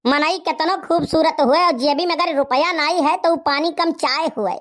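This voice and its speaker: A young village man for a comedy cartoon, with a very high, childlike voice. He is cheeky and sure of himself, delivering witty lines in a rustic Awadhi accent. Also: moderate pace, fairly bright tone. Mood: philosophical. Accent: Awadhi, eastern Uttar Pradesh.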